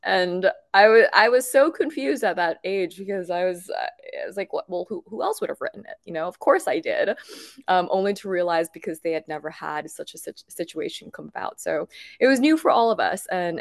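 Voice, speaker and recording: Female interview guest, lower-pitched, expressive and chatty, personal storytelling, dry recording. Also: no echo. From Thailand, grew up in Bangkok.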